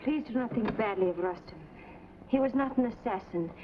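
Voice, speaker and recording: An actress playing a proud, fiercely defiant daughter in a vintage television drama, solemn and formal. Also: female voice, fairly high pitch, lively intonation, a little fast, natural voice. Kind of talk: 1950s television drama acting. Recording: dull, hissy vintage TV soundtrack.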